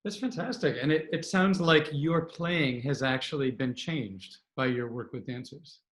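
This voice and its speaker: A man hosting an interview, speaking at a middle pitch with encouraging praise for his guest. His voice rings in a roomy, echoey space. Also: normal speed, a bit bright. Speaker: interviewer, organization president. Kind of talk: interview questions.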